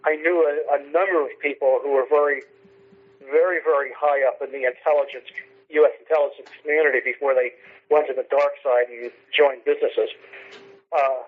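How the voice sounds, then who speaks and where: Husky man on a tinny phone line. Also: mid-range voice, steady tone, brisk. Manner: emphatic, repeats phrases for stress. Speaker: radio commentator on the phone. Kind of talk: conversational political commentary. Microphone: telephone. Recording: tinny, narrow telephone line.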